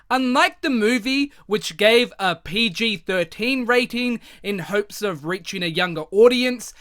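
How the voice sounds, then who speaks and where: A man in his thirties narrating a scripted retrospective review in a measured, reflective way. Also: sits high, dry recording, clean studio sound. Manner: measured, reflective, even delivery.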